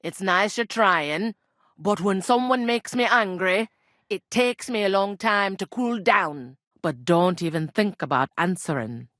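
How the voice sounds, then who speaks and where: A woman voicing a gruff, teasing medieval tavern innkeeper in a video game, with a blunt, folksy, scolding way of talking. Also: medium pitch, a little slow, fairly bright tone, dry recording, studio quality, lightly acted. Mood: stern, grumbling. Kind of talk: scripted game character dialogue.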